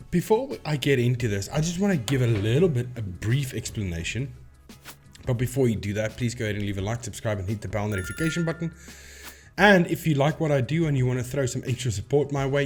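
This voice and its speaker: Guy hosting a youtube style explainer, average pitch, kinda hesitant and rewording stuff, bit of music behind. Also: darkish tone. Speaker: host of a paranormal debunking video channel. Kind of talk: informal explainer to camera.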